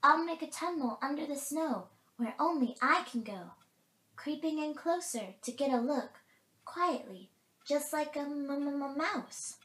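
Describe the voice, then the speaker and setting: A woman's voice: high-pitched, lively intonation, a little slow, a bit bright, pitched above the performer's own voice. A woman voicing a timid but brave tiny storybook mouse, hesitant and stammering. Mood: nervous, determined. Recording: roomy, echoing space.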